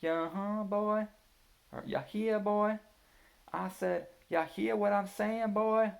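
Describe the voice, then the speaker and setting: A man doing a loud, drawling Southern bully. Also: high-pitched, fairly even intonation, slow, gravelly, voice pushed up, exaggerated voice. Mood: mocking. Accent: put-on Southern US drawl. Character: joking father putting on a loud drawling Southern lawman voice.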